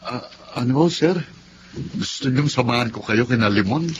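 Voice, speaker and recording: A man's voice: mid-range voice, moderate pace, highs cut off, a little hiss or hum, lightly acted. An actor playing a polite, helpful male office subordinate in a Filipino radio drama, hesitant and deferential.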